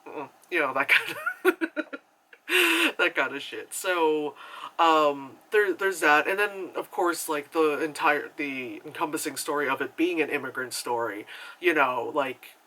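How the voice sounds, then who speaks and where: Chatty bright-voiced young man. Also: a little slow, poor audio. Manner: chatty and animated, many fillers, jumps into high acted reactions. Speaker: movie reviewer. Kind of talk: casual spoken review.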